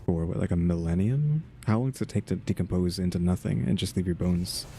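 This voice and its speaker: A man's voice: low-pitched, brisk, creaky, warm tone, slight background noise. A man giving casual, off-the-cuff gameplay commentary, musing aloud in a wry, rambling way. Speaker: gaming streamer. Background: game music.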